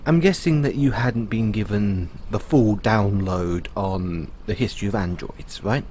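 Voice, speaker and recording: A man playing a patient owner talking to his android in an audio roleplay, explaining in a musing way with pauses. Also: fairly low pitch, darkish tone, a little hiss or hum, close to an everyday voice. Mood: gently musing. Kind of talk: audio roleplay, conversational storytelling.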